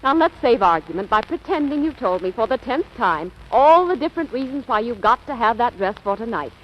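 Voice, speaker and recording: A woman's voice: fairly high pitch, animated, fairly quick, natural voice. An actress playing a weary, exasperated middle-aged mother laying down the law in a 1940s radio drama. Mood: weary, firm. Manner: firm, exasperated. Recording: dull, noisy old radio recording.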